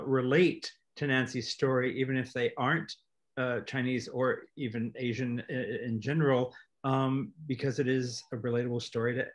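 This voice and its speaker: A man with a mid-pitched voice hosts a post-show talk. He speaks in a polite, conversational way, halting often to find his next words. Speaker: theatre host.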